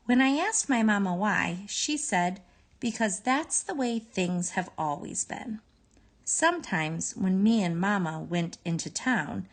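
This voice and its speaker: Unhurried woman reading a story, lively intonation. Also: medium pitch. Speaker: storybook reader. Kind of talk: expressive children's story read-aloud.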